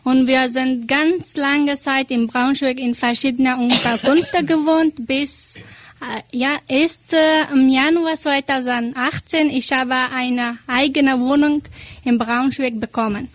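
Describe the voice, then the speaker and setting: A woman from Afghanistan, an agricultural engineer, telling her story haltingly in an interview. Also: sits high, fairly even intonation. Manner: halting, searching for words. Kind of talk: personal account in an interview.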